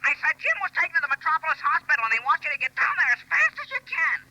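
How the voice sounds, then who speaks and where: Office youngster: urgent, very sharp, animated delivery, 1940s radio acting. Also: high-pitched, very fast, muffled recording, exaggerated voice. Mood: anxious, urgent.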